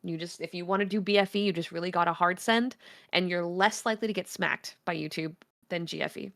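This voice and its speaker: A woman with a deep voice, a guest presenter giving a chatty, candid talk, with many ums and uhs. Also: fairly even intonation, brisk, fairly bright tone. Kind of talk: casual presentation on a livestream.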